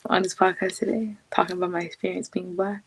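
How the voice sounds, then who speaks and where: A sixteen-year-old girl from Cameroon answering podcast questions, halting and repeating words. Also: fairly low pitch, flat delivery, a little hiss or hum, rough recording. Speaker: podcast guest. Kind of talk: casual interview answers.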